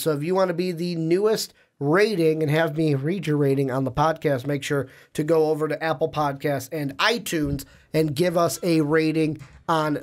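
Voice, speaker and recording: A young man hosting a sports podcast, talkative and upbeat, sounding nasal and stuffy from a cold, speaking into a studio microphone. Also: a little high. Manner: upbeat, talkative, reads plugs. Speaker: podcast host.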